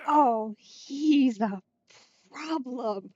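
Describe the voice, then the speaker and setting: A woman's voice: sits high, drawn-out words, mellow, no room sound, highs cut off. A woman streamer giving casual Let's Play commentary, sarcastic and slangy. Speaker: streamer playing a video game.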